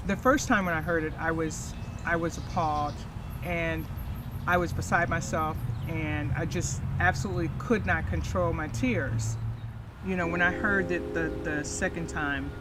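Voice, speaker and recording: A woman's voice: on the low side, steady tone, unhurried, very noisy. A middle-aged woman earnestly and emotionally recounting her experience in a documentary interview. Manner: earnest, emotional storytelling with hesitations. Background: loud music bed.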